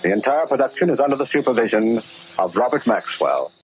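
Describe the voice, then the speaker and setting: A man's voice: mid-range voice, expressive, a little fast, a little hiss or hum. Muffled old-time radio announcer, male. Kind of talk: 1940s radio announcing, scripted. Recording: muffled old radio recording over music.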